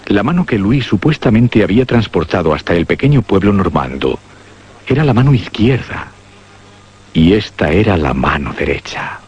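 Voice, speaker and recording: A man giving a dramatic, scripted radio narration of a horror story, with suspenseful pauses. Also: on the low side, sing-song, darkish tone, breathy edge. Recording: muffled radio drama recording. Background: prominent music.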